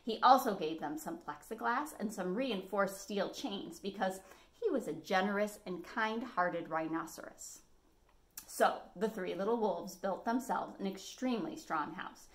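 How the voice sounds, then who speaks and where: An American woman in her forties reading a picture book expressively as a storytime host, phrasing clearly for children. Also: mid-range voice, measured pace. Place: small living room at home, indoors.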